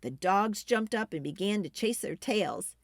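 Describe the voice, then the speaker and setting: A woman reading a children's book aloud expressively, with dramatic emphasis and drawn-out key words. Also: mid-range voice, expressive, no echo, studio quality. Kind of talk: expressive storytime read-aloud.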